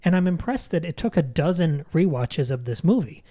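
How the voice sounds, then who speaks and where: Articulate, low-key mellow woman podcaster. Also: expressive, normal speed. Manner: articulate, emphatic, literary phrasing. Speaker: podcast co-host. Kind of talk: conversational film analysis.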